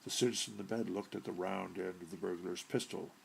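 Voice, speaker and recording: A man narrating a short story from a script with deliberate, theatrical delivery and a gravelly, husky voice. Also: deep voice, steady tone, slight background noise, rough recording. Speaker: audiobook narrator.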